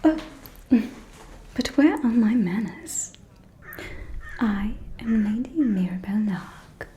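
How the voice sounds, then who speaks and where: Woman playing a slow, whispering vampire socialite. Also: fairly high pitch, animated, warm tone, slight background noise. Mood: playful, coy. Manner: drawling, coy, theatrical. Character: gossipy, flamboyant vampire socialite lady, playful and coy. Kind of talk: whispered ASMR roleplay.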